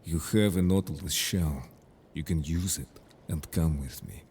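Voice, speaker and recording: Man acting a merfolk, bottom-deep male voice, velvety dark tone, composed, reassuring, formal wording, audio roleplay. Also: slight background noise. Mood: earnest, urging. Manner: measured, formal phrasing. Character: calm, protective, confident merfolk man, reassuring and worldly.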